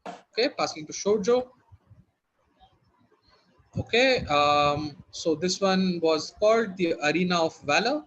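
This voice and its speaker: A man's voice: high voice, animated, a little slow, pauses often, a bit bright, highs cut off. A man working as a quizmaster, reading out questions and hosting in a conversational way.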